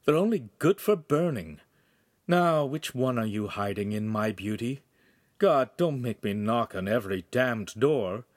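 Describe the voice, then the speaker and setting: A man's voice: mid-range voice, expressive, a little slow, no echo, clean studio sound, natural voice. A man voicing an arrogant, lecherous young nobleman with a sneering, haughty manner, in a narrated historical story. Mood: contemptuous, muttering. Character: arrogant, lecherous, quick-tempered young Italian nobleman on horseback.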